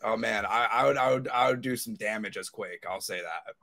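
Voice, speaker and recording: A man's voice: lowish voice, brisk, gravelly, bright, forceful tone. A man chatting casually and informally as a podcast panelist. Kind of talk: casual chat.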